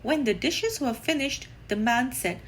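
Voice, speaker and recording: High-voiced, expressive woman reading a storybook. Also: slight background noise. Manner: deliberate, animated storytelling with drawn-out emphasis. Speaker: children's story reader. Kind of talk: expressive read-aloud storybook narration for young children.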